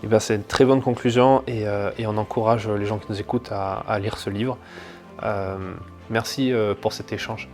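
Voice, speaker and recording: Low voiced guy hosting a podcast, music playing under him. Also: fairly even intonation, normal speed, a little mellow. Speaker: podcast host. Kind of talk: interview and podcast outro.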